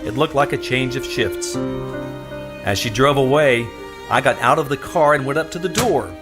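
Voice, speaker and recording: A wry, smooth-talking private detective, played by a man in a radio drama. His voice sits in a middle male register and he talks laconically, like someone telling his own case. Music runs behind him. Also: medium pitch, normal speed, close to an everyday voice. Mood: wry, brooding. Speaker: lead actor and narrator. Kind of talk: old-time radio detective drama, first-person narration.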